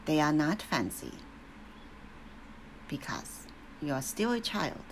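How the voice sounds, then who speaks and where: Stern, shrill elderly matriarch, scolding and slow-spoken, put on by a woman reader. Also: sing-song, slight background noise, exaggerated voice, low-quality recording. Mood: grave.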